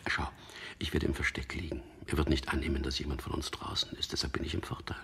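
An actor in a German radio drama playing a resolute man on a secret mission, narrating deliberately and introspectively in a gravelly, husky, hushed voice. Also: a bass voice, little pitch movement, whispered, heavily acted. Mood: reassuring, resolute. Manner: deliberate, introspective, recounting events.

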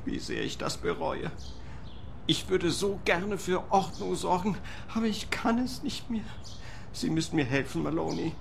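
A man's voice: high voice, unhurried, gravelly, slight background noise. A man playing a fussy, querulous, self-pitying landlord in a radio play. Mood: plaintive. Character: fussy, aggrieved male landlord obsessed with order.

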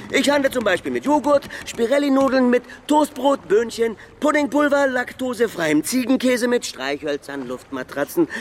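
An actor in a radio play voicing a nervous, eager river trader who speaks haltingly and pleadingly. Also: male voice, very high-pitched, slight background noise. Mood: anxious, eager. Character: eager, nervous war-bereaved male river trader in a canoe.

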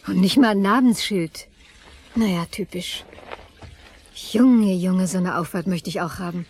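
An actress playing a down-to-earth wife in a German crime radio drama, colloquial and dryly teasing. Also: female voice, lowish voice, measured pace, a little mellow, breathy edge. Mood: impressed, chatty. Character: down-to-earth, dry-witted wife driving her husband.

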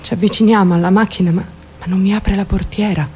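An actress playing a naive, lovestruck and traumatized young woman in an intimate, halting radio drama monologue. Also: mid-range voice, steady tone, a little mellow, slight background noise, natural voice. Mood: numb, fragile. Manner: intimate, halting, childlike self-questioning. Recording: music behind the voice. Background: music.

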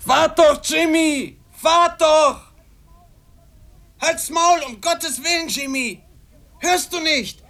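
An actor in a German radio drama playing a small, frantic, devoted sailor who cries out urgently and pleadingly. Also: male voice, extremely high pitch, takes it slowly, vocal fry, pauses often, sharp tone, exaggerated voice. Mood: desperate, pleading. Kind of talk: German radio drama acting with narrated passages.

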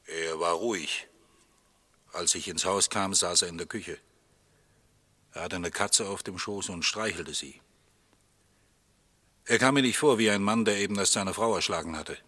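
A calm police inspector in a 60s german radio play, deep voice with a crisp bright edge, plain unhurried testimony. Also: male voice, exaggerated voice. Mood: calm, factual. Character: calm, matter-of-fact male police detective inspector.

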